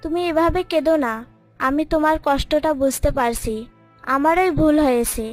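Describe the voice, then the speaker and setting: High, dramatic mother serpent acted by a woman. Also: moderate pace, soft background music, natural voice. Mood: remorseful. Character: fierce, protective mother serpent, intense and emotional. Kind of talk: children's cartoon voice acting.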